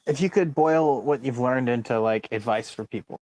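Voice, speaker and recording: A man's voice: medium pitch, normal speed, darkish tone, dry recording, low-quality recording. A man hosting a casual podcast interview, reflecting back and rephrasing what his guest says. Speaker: podcast host.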